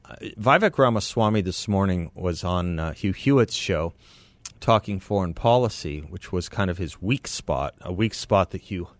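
Radio host guy, kinda low voice, dry studio sound, chatty and self-correcting. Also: lively intonation, moderate pace, dry recording. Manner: conversational, self-correcting, reads ads with enthusiasm. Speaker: radio talk show host. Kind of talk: casual radio talk and live ad read. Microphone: studio microphone.

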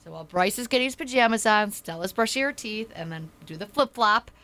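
A hoarse-sounding woman in her thirties chatting on a podcast she co-hosts. Her voice sits at an ordinary middle pitch, and she talks in a chatty, self-deprecating way, telling stories about home life. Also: animated, a little fast, bright, forceful tone. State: sore throat, losing her voice. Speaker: podcast co-host. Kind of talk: casual chat.

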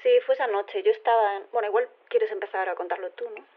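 Chatty woman phoning a radio show, polite, rambly, mid pitch, tinny phone audio. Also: fast talker. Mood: chatty, earnest. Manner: chatty, rambling, self-correcting. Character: chatty, polite man living inside a woman's body. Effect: telephone filter. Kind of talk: naturalistic phone interview in audio drama. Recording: narrow, tinny phone line.

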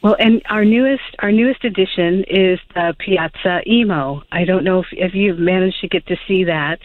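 A woman's voice: lowish voice, moderate pace, no echo. A woman author talking as a radio guest over the phone, hesitant, with many fillers and restarts. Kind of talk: phone interview chat. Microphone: telephone. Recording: tinny phone line.

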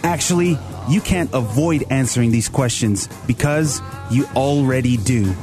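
A man's voice: medium pitch, normal speed. A man reading a scripted promotional introduction for a radio show. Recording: voice over loud guitar music. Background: guitar music.

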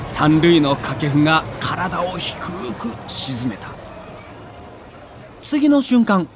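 A man's voice: on the high side, very animated, drawn-out words, noisy background. A man narrating a sports documentary in a theatrical, emphatic storytelling manner.

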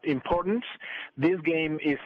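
A native Spanish-speaking man with a Latin American accent, giving halting, unscripted interview answers as a guest sports broadcaster. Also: on the high side. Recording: dull band-limited remote radio link.

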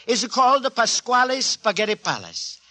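Cheerful Italian immigrant, Italian accent, broken English, high pitch, swooping earnest delivery, old radio comedy. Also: male voice, big pitch swings, normal speed, sharp tone, exaggerated voice. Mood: fond, chatty. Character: naive, cheerful Italian immigrant antique dealer, earnest man. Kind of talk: 1940s radio comedy acting. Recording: 1940s radio recording, live studio audience.

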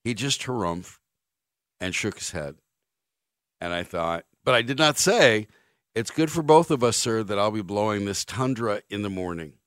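A man's voice: on the low side, animated, normal speed, fairly bright tone, no echo, clean studio sound. A man in his fifties hosting sports talk radio, making wry, sarcastic jokes and drifting into rambling anecdotes. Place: radio studio. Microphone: studio microphone.

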